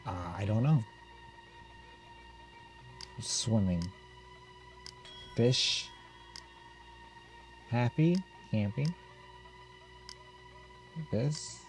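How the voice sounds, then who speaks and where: Deep-voiced man doing a let's play. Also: gravelly, a little mellow. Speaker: gamer reading and reacting to a visual novel. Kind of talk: let's play commentary, reading game text aloud.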